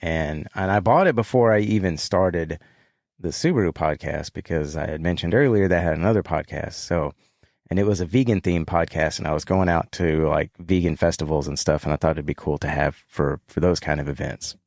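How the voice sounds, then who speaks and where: A man with a very low, calm voice chats easily as a podcast guest and laughs often. The recording is close and dry, with no room sound at all. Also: brisk, no echo. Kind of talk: casual podcast conversation.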